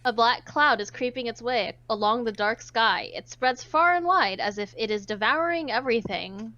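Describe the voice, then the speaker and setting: Female streamer, voice on the high side, casual and jokey read-aloud, somewhat muffled audio. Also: lively intonation, normal speed, pushed and bright. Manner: playful, jokey asides. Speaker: streamer reading a visual novel aloud. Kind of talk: casual group chat mixed with read-aloud narration.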